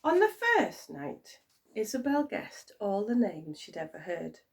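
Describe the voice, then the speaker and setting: Casting: female primary teacher type for children's storytime, dramatic, unhurried, highly animated delivery. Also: gravelly, far from the mic. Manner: expressive, dramatic.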